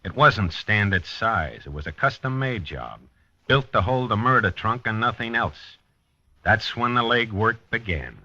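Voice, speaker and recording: Forceful, hard-boiled American detective. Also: male voice, fairly low pitch, expressive, a little slow, no room sound, full character voice. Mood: grim, methodical. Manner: terse, wry, hard-boiled. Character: dogged, hard-boiled male police detective narrating his case. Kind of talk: 1950s radio drama acting, noir narration. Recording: dull vintage 1950s radio recording.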